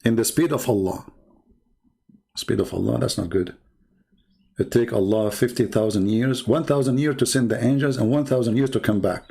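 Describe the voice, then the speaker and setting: Gravelly Arabic-accented man challenging callers. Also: mid-range voice, moderate pace, mellow. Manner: challenging, taunting, inviting callers. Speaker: online debate host. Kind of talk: live-streamed religious debate talk.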